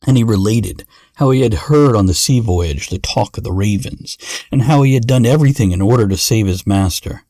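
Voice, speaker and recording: A man narrating a fairy tale in a measured, dramatic storytelling manner. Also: on the low side, dark tone, dry recording, clean studio sound. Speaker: podcast narrator.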